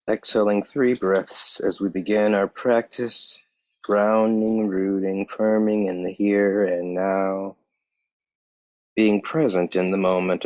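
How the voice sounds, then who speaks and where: A man guiding a yoga meditation as a teacher, speaking calmly and in measured short phrases with pauses. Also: lowish voice, no echo. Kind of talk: guided yoga meditation instruction. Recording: dull, muffled lo-fi sound.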